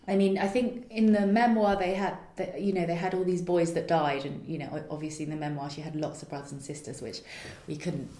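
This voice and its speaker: A woman's voice: fairly low pitch, fairly even intonation, brisk, hardly any pauses, warm tone, echoey room. A woman playwright answering interview questions earnestly, searching for her words and laughing lightly now and then.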